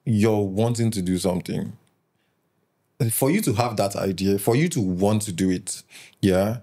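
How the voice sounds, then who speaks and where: A man in his twenties from Nigeria, a podcast guest chatting in a relaxed way, often laughing and repeating words as he thinks. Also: lowish voice, a little slow. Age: 20s. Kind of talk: casual interview chat.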